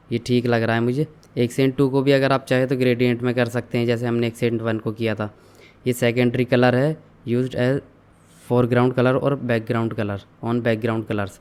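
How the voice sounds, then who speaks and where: Young guy doing a screen tutorial, flat even voice, clear smooth tone, explains every step. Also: mid-range voice. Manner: steady step-by-step explaining, mixing in English terms. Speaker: online tutorial instructor. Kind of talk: screen-share software walkthrough.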